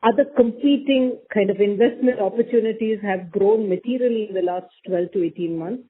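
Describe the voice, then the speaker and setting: A woman's voice: mid-range voice, brisk, breathy edge, phone-quality sound. A woman explaining things carefully and off the cuff as a bank executive on an earnings call. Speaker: senior bank executive answering analysts. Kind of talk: earnings call answers, unscripted. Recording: thin phone conference line.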